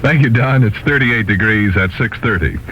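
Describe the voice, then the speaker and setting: A man's voice: mid-range voice, moderate pace, husky. A man reading a 1970s radio news bulletin as a newsreader. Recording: muffled, noisy vintage radio aircheck.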